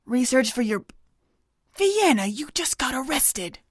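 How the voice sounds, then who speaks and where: A tough female security guard in an audio drama , played by a woman. Her voice is a little high and closely recorded. She is blunt, exasperated and takes no nonsense. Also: sing-song, measured pace, dry recording, studio quality, heavily acted.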